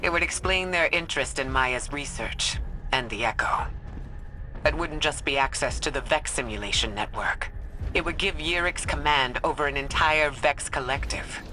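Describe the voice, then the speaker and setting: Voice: medium pitch, normal speed, pushed and bright, a little hiss or hum, lightly acted. An actor playing a serious, worried ally giving a briefing in a video game, earnest and concerned.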